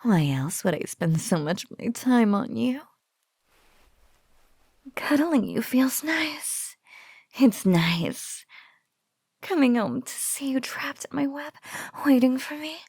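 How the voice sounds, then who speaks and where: A woman playing a teasing, possessive female spider monster in an intimate audio roleplay, with a breathy, cooing drawl that often slips into whispers. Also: fairly high pitch, a little mellow, dry recording, studio quality, full character voice. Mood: cooing, affectionate. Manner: teasing, drawling coo with giggles.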